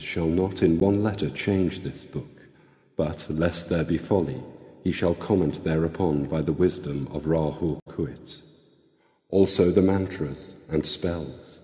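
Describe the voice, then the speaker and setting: A man solemnly reciting a sacred text in a grave, measured, incantatory manner, with long reverb. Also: low-pitched, mellow.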